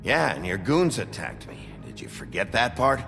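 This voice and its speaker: Gruff lawman, gravelly, lively intonation, blunt, sardonic, echoing hall, video game. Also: fairly low pitch, normal speed, exaggerated voice. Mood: irritated. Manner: terse, sardonic, confrontational. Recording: echoing hall sound with faint music.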